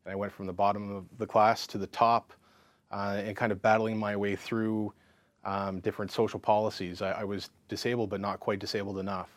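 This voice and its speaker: A man in his thirties tells his story as an interview guest, talking in a frank, lively way. His voice sits low, and the sound is dry and close. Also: brisk, no room sound. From Canada. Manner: frank and lively, full of fillers and restarts. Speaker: counselor and psychotherapist, interview guest.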